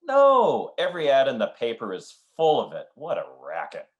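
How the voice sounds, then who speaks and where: A man's voice: medium pitch, huge pitch swings, moderate pace, highs cut off. A man playing a dry, cynical, teasing husband in an old-time radio comedy, with a wry, grumbling delivery.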